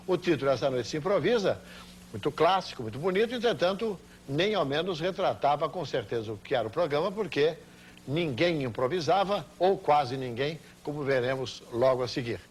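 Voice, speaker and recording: A man's voice: a little high, animated, brisk. A man with a Brazilian accent giving eloquent commentary as a presenter. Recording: hiss and hum, old TV tape.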